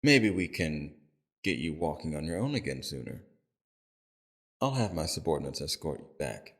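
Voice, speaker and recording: A man playing a calm, courteous villain leader in an audio roleplay, speaking in a civil, measured, softly probing way with a very deep, gravelly voice. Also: heavily acted. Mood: gentle, amused.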